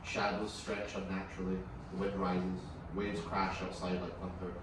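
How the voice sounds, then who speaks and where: Male narrator for screenplay table read, deep register, distant and noisy recording. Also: little pitch movement, normal speed. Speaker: narrator reading stage directions. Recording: distant echoey room, clear background noise.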